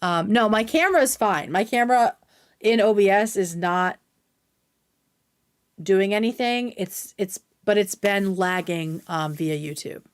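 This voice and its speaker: A woman's voice: medium pitch, a little slow, clean tone, no echo, studio quality. A woman hosting a livestream, chatting casually and informally with viewers, with some swearing. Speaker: livestream host. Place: indoors at home.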